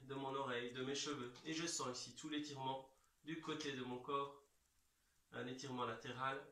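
A man in his thirties giving calm, reassuring step-by-step instructions as an exercise coach, recorded in a large bare room from several metres away. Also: medium pitch. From Belgium. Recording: echoey room, distant microphone.

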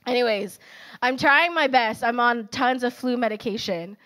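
A woman's voice: a little high, moderate pace, poor audio. A woman in her thirties giving a casual, story-filled sermon to a young adult group. She speaks into a handheld mic on a church auditorium stage. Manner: animated and personal, filler-heavy storytelling. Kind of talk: conversational sermon. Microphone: handheld microphone.

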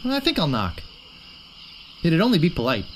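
A man's voice: fairly high pitch, wildly swooping pitch, normal speed, a little hiss or hum. A man voicing a naive, earnest young would-be pirate in a comic adventure game, boyish, polite and a bit wimpy. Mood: polite, mild.

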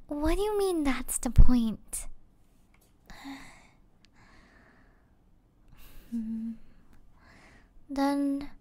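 Soft, high-voiced gamer girlfriend played by a woman. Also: no echo, exaggerated voice. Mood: flustered, coaxing. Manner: soft-spoken, hesitant, often drifting into breathy murmurs. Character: affectionate young gamer girlfriend, gently flustered. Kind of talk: soft-spoken ASMR girlfriend roleplay.

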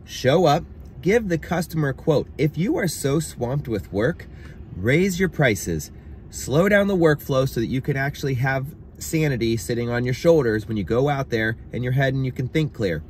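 A man's voice: medium pitch, animated, moderate pace, slight background noise. A man in his thirties, a handyman, giving emphatic, exasperated advice to contractors from his car, recorded on a phone. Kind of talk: casual advice vlog. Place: parked car. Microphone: phone on dashboard.

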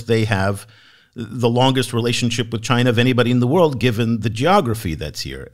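A man hosting a podcast on world affairs, introducing a guest with enthusiasm and asking interview questions. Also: mid-range voice. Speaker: podcast host.

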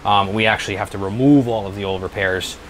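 Low male voice, thirties, halting, repeats phrases, casual shop talk, faint air-conditioner hum. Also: a bit bright, poor audio. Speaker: helmet restoration business owner. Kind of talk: casual workshop explanation. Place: small workshop, indoors.

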